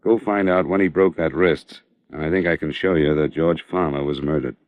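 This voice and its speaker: A man's voice: extremely low pitch, steady tone, normal speed. A man playing a shrewd, persistent insurance investigator with clipped, pressing delivery in a 1950s radio crime drama. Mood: probing. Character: steely male insurance investigator, shrewd, persistent, hard-boiled. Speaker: lead detective and narrator. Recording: dull vintage radio recording.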